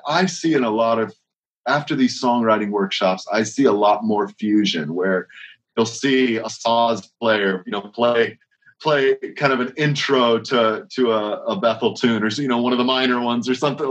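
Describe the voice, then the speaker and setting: Man, worship leader, casual interview talk, rambling, restarts, rhetorical questions, mid-pitched voice. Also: a bit bright, muffled recording.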